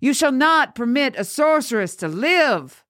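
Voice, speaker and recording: A woman's voice: high voice, sing-song, unhurried, fairly bright tone, no echo, clean studio sound, pitched above the performer's own voice, full character voice. A woman voicing a devout male priest and father, solemnly preaching while holding back sorrow. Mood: stern, resigned. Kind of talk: dramatic storytelling.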